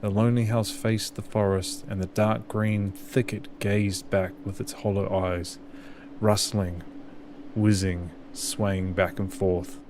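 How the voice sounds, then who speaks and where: A man's voice: fairly low pitch, background noise. A man hosting a gaming channel, doing let's play commentary and reading game text aloud. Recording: music loud behind the voice. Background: game music.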